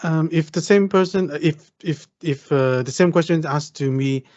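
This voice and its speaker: A man's voice: a little high, normal speed, darkish tone, highs cut off. A man from Vietnam joining a discussion, talking hesitantly with many fillers and restarts. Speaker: participant. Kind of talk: casual chat.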